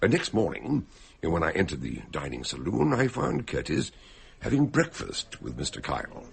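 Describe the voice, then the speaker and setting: An actor playing a polite, sensible doctor who tells a shipboard mystery in a measured, hesitant way, radio drama style. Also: male voice, deep voice, sing-song, creaky, breathy edge, exaggerated voice. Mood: measured, explanatory. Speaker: narrator and character in a radio mystery. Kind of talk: radio drama storytelling and dialogue.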